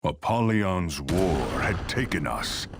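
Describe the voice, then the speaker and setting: Very deep gravelly brooding warrior. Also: male voice, lively intonation, slow, noisy background, full character voice. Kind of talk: dramatic game cutscene narration. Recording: loud music over the voice.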